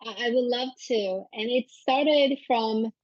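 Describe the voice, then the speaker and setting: Woman, from Turkey, Turkish native speaker, somewhat high pitch, measured reflective storytelling, podcast guest, mildly lo-fi sound. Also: no room sound. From Turkey, moved to the US at fourteen. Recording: slightly dull lo-fi audio.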